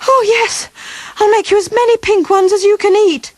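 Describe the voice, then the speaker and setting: An eager, anxious little boy from a fairy tale, acted out by a woman reader. The voice is pitched up and full of exclaiming and pleading. It is a dry recording. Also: steady tone, moderate pace, darkish tone, dry recording. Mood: frightened pleading. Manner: breathy gasps, pleading, exclaiming. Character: small boy, pastry cook's son, eager and frightened.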